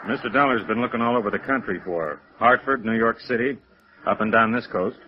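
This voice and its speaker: An actor playing a steady, plain-spoken American sheriff's deputy in a 1950s radio drama, talking in an unhurried way. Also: male voice, medium pitch, lightly acted. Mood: firm. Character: laconic, steady male sheriff's deputy in a beach town. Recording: dull, noisy vintage radio recording.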